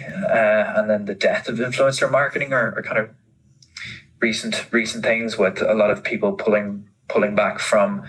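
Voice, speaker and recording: A man's voice: lowish voice, rough recording. A man giving informal interview answers, thinking aloud and often repeating words as he explains. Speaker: interviewee, marketing manager.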